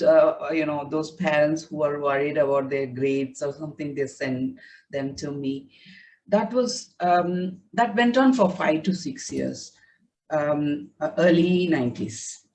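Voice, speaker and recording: A woman's voice: low-pitched, a little mellow, distant mic, rough recording. A woman whose first language is Tamil, a writer being interviewed, talking reflectively with many fillers and restarts over a video call.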